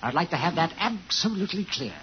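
An actor playing a fussy, evasive sales manager in a 1950s radio drama, officious and easily flustered. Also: male voice, high-pitched, lively intonation, fast talker. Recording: dull, noisy 1950s radio recording.

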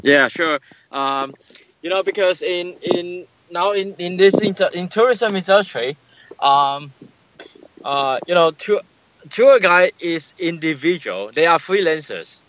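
Halting man on a muffled call line. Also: high-pitched, animated, fairly bright tone, no echo. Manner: halting, searching for words, repeats himself. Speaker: guest, tour business manager. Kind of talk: interview answers.

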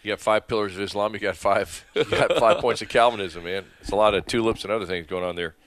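A man hosting a live radio talk show from a convention booth, chatty and enthusiastic, reading out listener messages and station shout-outs. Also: fairly low pitch, fast talker.

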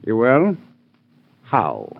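A man's voice: medium pitch, huge pitch swings, drawn-out words, creaky, full character voice. An actor playing a sneering, mocking villainous art collector who savors his words in a 1940s radio crime drama. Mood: intrigued, scoffing. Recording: dull old 1940s radio recording.

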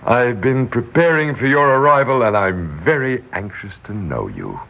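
An actor playing a controlling, smooth-talking butler with imperious, deliberate speech in 1960s radio drama style. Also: male voice, medium pitch, sing-song. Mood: courteous, insinuating. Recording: dull, noisy 1960s radio recording.

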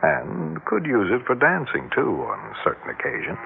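A man's voice: mid-range voice, wildly swooping pitch, moderate pace, vocal fry, heavily acted. A man playing a matter-of-fact, observant guide showing someone around a house in a 1960s radio drama. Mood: casual, musing. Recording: tinny, faintly noisy 1960s radio recording.

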